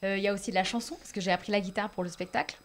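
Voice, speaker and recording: A young woman from the Lyon area, a comedian talking casually about her stage show, lively and chatty with frequent laughs and restarts. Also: mid-range voice, rapid-fire, slight background noise. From west of Lyon, France. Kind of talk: casual interview chat.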